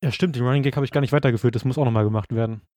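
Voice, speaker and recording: A young man from Brandenburg co-hosting a podcast, matter-of-fact and reading out numbers slowly. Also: medium pitch, mellow, no room sound, studio quality. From Brandenburg, Germany. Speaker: podcast co-host. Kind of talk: casual podcast chat, reading out stats.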